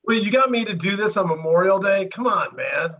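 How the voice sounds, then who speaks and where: A man's voice: high voice, expressive, a little fast, a bit bright. A man co-hosting in casual, slangy, blunt conversation, heard over a lo-fi remote connection. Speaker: co-host. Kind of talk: casual chat.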